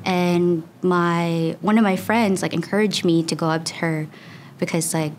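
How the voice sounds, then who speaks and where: Chatty young woman, lowish voice. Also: fairly even intonation, measured pace, a little hiss or hum. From Philippines. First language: Tagalog. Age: young adult. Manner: chatty, frequent "like" fillers. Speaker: student researcher. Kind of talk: casual interview answers.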